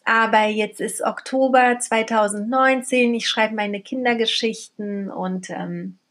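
A woman's voice: fairly high pitch, steady tone, unhurried. A middle-aged woman from Berlin talking candidly and colloquially, as in a casual personal vlog. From Berlin, Germany. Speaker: vlogger and storyteller.

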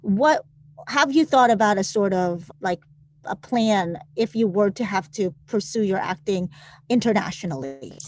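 A woman's voice: mid-range voice, animated, measured pace, darkish tone. A woman interviewing a guest, asking casual questions that stall and restart as she works out what she wants to ask.

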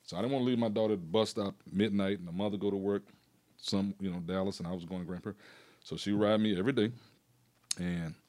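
A man in his sixties with a drawl rattles off stories at a quick clip in a lowish voice. He rambles, doubles back on his words and breaks into laughter as a retired PE teacher talking with an old student. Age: 60s. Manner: animated, rambling, laughs often. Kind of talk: casual storytelling conversation.

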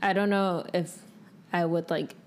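A young woman talks in a voice pitched a little low. She sounds like a podcast co-host in casual conversation, working out her thoughts as she goes. Her phrasing is loose and informal, full of filler "like". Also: fairly even intonation, unhurried. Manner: informal, thinking aloud, peppered with "like". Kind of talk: casual chat.

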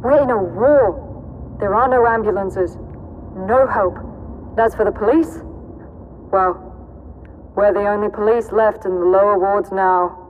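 A woman's voice: medium pitch, a little slow, pauses often, close to an everyday voice. Woman playing a blunt resistance fighter, dull reverberant sound. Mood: grim. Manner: blunt, matter-of-fact. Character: hardened, matter-of-fact female resistance fighter. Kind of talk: sci-fi audio drama acting. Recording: dull, reverberant, faintly noisy.

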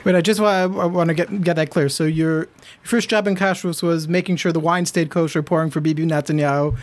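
A man's voice: on the high side, fairly even intonation, fast talker. A man hosting an interview, asking questions and summing up what his guest says. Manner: curious, prompts and sums up. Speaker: podcast host.

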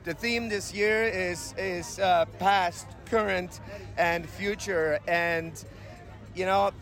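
A man from Hungary, building a gym float, talking haltingly with many fillers outdoors at a parade. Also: sits high, fairly even intonation, fairly bright tone. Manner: hesitant, many fillers and restarts. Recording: music playing behind.

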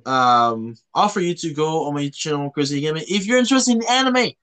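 A man from Canada hosting a casual podcast, talking in a halting way and often rephrasing himself. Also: on the high side, animated, a bit bright, smooth. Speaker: podcast host.

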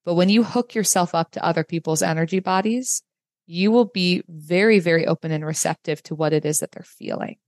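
A woman giving a guided reading in a calm, explanatory manner. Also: fairly low pitch, brisk, no echo, clean studio sound. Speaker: human design reader, host.

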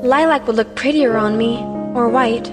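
A woman voicing an excitable, headstrong thirteen-year-old witch girl in an animated film fandub. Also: on the high side, normal speed, music in the background, natural voice. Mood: sulky. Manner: excitable, bubbly.

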